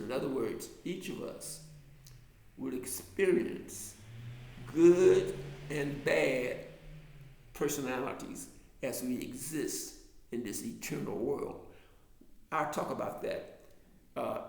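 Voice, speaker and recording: A man's voice: fairly high pitch, roomy sound. An older man from the US South with a mild Southern American accent, an author and lecturer talking to a class in a rambling way, full of restarts and fillers. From Alabama, US South. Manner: digressive, many restarts and fillers.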